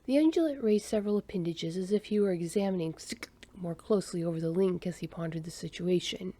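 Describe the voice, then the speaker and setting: A woman's voice: on the low side, fast talker, warm tone, dry recording. A woman narrating a science fiction story as the author-reader. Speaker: audiobook narrator.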